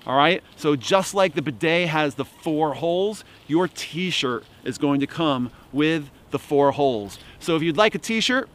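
Deadpan man pitching gear in the woods. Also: a little slow, hardly any pauses. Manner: dry, mock-salesman humor. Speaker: outdoor gear vlogger. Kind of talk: deadpan comic product pitch. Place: outdoors in bare winter woods.

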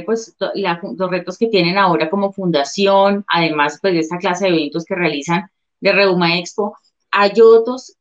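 A woman in her thirties speaks as a journalist putting questions to a guest. Her voice is a little low. The video-call audio is slightly dull. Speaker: journalist, interviewer. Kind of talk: interview questioning. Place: living room at home, indoors.

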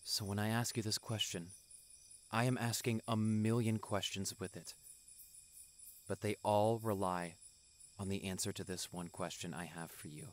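A man playing a tender, devoted young boyfriend in a romantic roleplay audio, speaking softly and earnestly. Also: fairly low pitch, fairly even intonation, lightly acted. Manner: soft, tender, earnest, unhurried.

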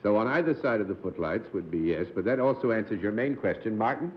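A man's voice: lowish voice, animated, quick pace. A middle-aged man from New England, hosting a live panel game show and reminiscing genially with a guest. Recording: dull slightly noisy 1960s TV broadcast.

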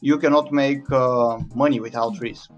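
Relaxed Bulgarian man with a mid voice. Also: mid-range voice, normal speed, dull audio, faint music behind. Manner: relaxed, hesitant, many fillers. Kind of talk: casual chat.

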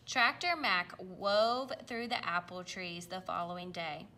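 A woman reading a children's picture book aloud, with careful, expressive delivery. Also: on the low side, unhurried. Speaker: storybook reader.

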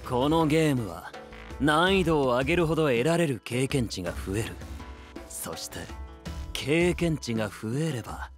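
Male actor as arrogant strategist, commanding, lively intonation, light music behind, anime drama. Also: medium pitch, moderate pace, natural voice. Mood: haughty scheming. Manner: haughty, commanding. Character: arrogant, ruthless young warlord strategist commanding his squad.

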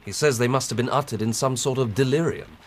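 An actor playing an earnest, methodical English police inspector in a radio drama, husky and deferential as he reports. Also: mid-range voice, a little hiss or hum, lightly acted. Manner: measured, deferential reporting of evidence. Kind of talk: BBC radio drama acting.

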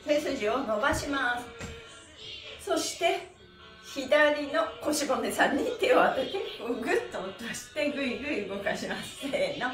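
A woman's voice: high voice, animated, unhurried, a bit bright. Cheerful lady coaching stretches, really swoopy voice, chanting along, bit far from mic w music on. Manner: cheerful, encouraging, calling out while moving. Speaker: stretch exercise instructor and host. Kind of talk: guided stretching lesson with rhythmic chanted counting phrases. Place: small bare-walled room indoors. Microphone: camera a few metres away. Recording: roomy echo, distant mic, music.

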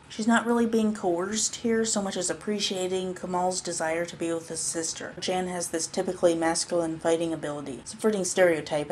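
Female narrator, lower register, measured academic commentary, amateur voice-over with background noise. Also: a little mellow, poor audio. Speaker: narrator and media analyst. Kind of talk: scripted analytical narration.

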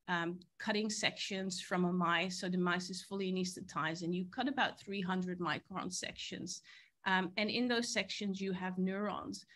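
A woman's voice: on the low side, fairly bright tone. A woman, giving an earnest scientific keynote over a video call from a university boardroom. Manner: earnest, explanatory, with occasional fillers. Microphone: video call, laptop mic.

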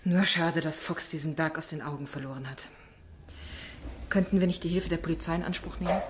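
A woman's voice: sits low, fairly even intonation, fairly quick, background noise, natural voice. A woman acting a determined young female lawyer. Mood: insistent. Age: young adult. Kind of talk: German radio drama acting.

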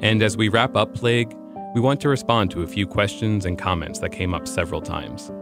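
Low-voiced American man narrating a podcast. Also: steady tone, fairly quick, over music. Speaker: podcast host. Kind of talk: scripted narration.

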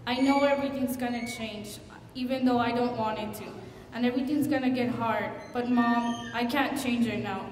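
Brief: actress playing a tearful teen girl, halting and pleading, slightly high voice, echoey hall from afar. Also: darkish tone, lightly acted. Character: frightened teenage girl confessing to her parents. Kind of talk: stage monologue. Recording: echoey hall, distant mic, faint noise.

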